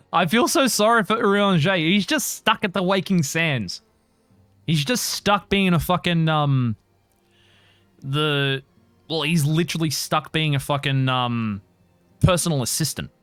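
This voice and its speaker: Chatty, animated male game streamer. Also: fairly high pitch, takes it slowly, pauses often, dry recording. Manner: chatty, sweary, self-commenting. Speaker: streamer voicing a game playthrough. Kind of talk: casual live stream commentary. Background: faint game music.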